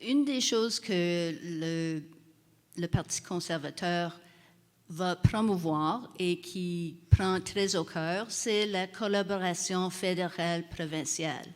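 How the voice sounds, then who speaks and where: Une femme à l'canadien-français, candidate dans un débat, parle très lentement, d'une voix plutôt grave, sur un ton réfléchi et sincère. Sa voix résonne dans une grande salle à longue réverbération. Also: female voice, fairly low pitch, slow pace. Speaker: election candidate. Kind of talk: debate statement. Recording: reverberant hall.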